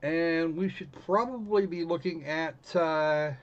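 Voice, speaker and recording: Rambling man, higher-pitched voice, dull recording. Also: slow. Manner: rambling, self-correcting. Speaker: live stream host. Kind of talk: casual livestream chat while gaming.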